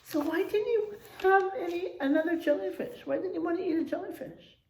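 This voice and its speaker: A woman teacher reading to young children in an expressive way, pausing for explanatory asides and checking in with her listeners. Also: very high voice. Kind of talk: animated children's read-aloud with explanatory asides. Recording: echoey room sound.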